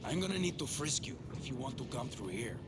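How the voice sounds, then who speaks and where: A man's voice: medium pitch, big pitch swings, fast, close to an everyday voice. A man playing a curt, grumbling security guard in a video game, brusque and impatient. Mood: impatient, businesslike. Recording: loud music over the dialogue.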